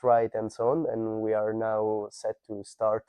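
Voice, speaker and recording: Low, mellow-voiced Italian man. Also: completely flat delivery, no echo, studio quality. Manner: measured, thoughtful, with frequent fillers and restarts. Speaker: interviewed project manager. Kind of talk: conversational business podcast interview.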